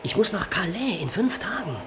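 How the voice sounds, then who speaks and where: A young soldier who has fled, speaking with urgency and pleading. His voice rises and falls in a lively way. The old radio recording carries clear hiss. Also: high voice, normal speed. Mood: urgent. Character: young male Scottish soldier on the run.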